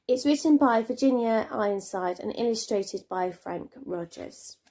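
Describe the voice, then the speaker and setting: A woman reading a children's storybook aloud like a primary teacher, expressively, with dramatic pauses and emphasis. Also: fairly high pitch, expressive, dark tone.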